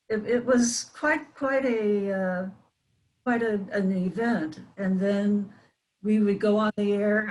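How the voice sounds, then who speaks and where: An older woman, a guest on a panel, reminiscing in a halting, thoughtful way. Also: fairly low pitch, rough recording. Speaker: panel guest, former child radio actress.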